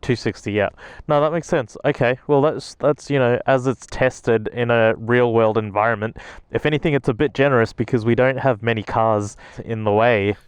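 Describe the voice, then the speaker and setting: A man's voice: medium pitch, normal speed, clean tone, no room sound. A man giving casual, chatty vlog commentary as a motorcycle gear reviewer, speaking from inside a helmet out on the road. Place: outdoors on a motorcycle on public roads. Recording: loud engine and wind noise.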